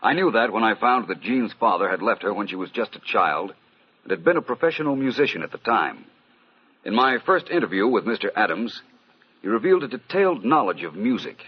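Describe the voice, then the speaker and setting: Low-voiced suave radio detective. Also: male voice, sing-song, brisk, husky. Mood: knowing. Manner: urbane, precise, knowing. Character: suave, self-assured gentleman detective, wryly superior. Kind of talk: old-time radio mystery acting. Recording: dull, lo-fi vintage radio recording.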